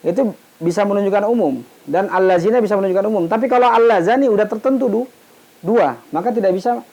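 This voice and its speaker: A man's voice: high voice, darkish tone, poor audio. A man giving an informal, explanatory religious lesson, posing questions and answering them, often repeating half-said words to finish them. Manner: didactic, asks questions then answers them. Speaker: Islamic studies teacher.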